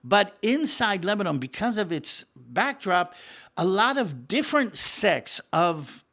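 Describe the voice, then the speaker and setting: A man's voice: sits high, very animated, measured pace, bright, forceful tone. Middle-aged man with an American accent. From born in the United States, grew up in Israel. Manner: conversational, with frequent fillers and repeated words. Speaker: commentator on Middle East events. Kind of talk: casual explanatory talk.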